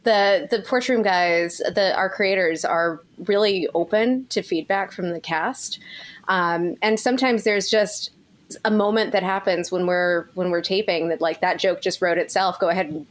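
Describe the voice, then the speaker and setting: A woman's voice: fairly low pitch, normal speed. A woman speaking casually in a group interview about her work as an audio drama cast member.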